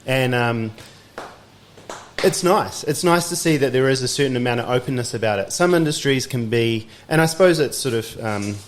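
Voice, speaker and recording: A man hosting a podcast, asking curious questions in a relaxed, chatty way and nodding along with quick agreements. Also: mid-range voice, a little hiss or hum. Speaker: podcast host. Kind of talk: casual interview chat.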